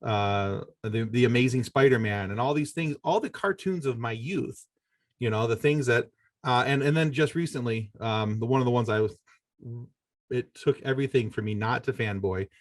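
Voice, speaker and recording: A middle-aged American man speaks in an earnest, conversational way, unspooling long explanations as a podcast guest. His voice sits a middling pitch, recorded dry with very little room sound. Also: moderate pace, no room sound. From Michigan, United States. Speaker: podcast host appearing as interview guest. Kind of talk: conversational interview answers.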